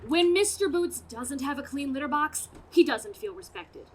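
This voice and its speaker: A woman's voice: high-pitched, lively intonation, moderate pace. A woman playing a sarcastic, combative radio sound-effects artist, snappy, in community stage acting. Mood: irate. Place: outdoor stage in a parking lot. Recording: slightly noisy outdoor recording.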